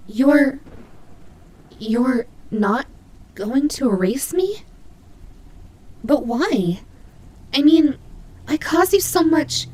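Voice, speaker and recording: Woman as a high-voiced, huffy tsundere demon bunny. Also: a little mellow, slight background noise, heavily acted. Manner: indignant, stammering, huffy. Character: cute tsundere female demon bunny, proud, flustered, grudging. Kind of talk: scripted audio roleplay acting.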